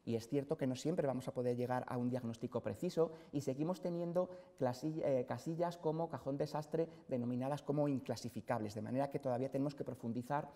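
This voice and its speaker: A middle-aged man from central Spain giving a measured scientific lecture to colleagues in a hospital auditorium, pausing often on "eh". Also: medium pitch, mellow. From Spain, Castilla-La Mancha. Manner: measured, explanatory, frequent "eh" fillers. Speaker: hematologist lecturer. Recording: long hall reverb from a lecture hall.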